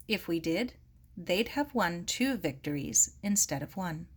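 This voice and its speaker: A woman voicing a firm, compassionate middle-aged aunt in an earnest, measured way. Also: lowish voice, lively intonation, breathy edge.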